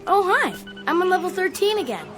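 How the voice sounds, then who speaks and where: A woman's voice: extremely high pitch, big pitch swings, brisk, over music. A woman playing a casual, easygoing kid absorbed in an arcade game in a radio drama, offhand and youthful.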